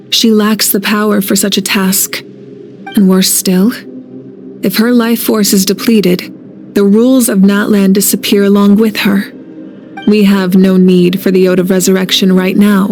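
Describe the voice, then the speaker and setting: An actress playing a resolute, composed warrior leader in a video game, speaking earnestly. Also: female voice, mid-range voice, darkish tone, close to an everyday voice. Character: resolute warrior archon woman, calm, self-sacrificing leader. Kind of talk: video game cutscene acting.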